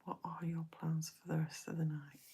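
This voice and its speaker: A woman hosting a late-night live stream, talking to her viewers in a soft, half-whispered voice. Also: low voice, flat delivery, normal speed, husky.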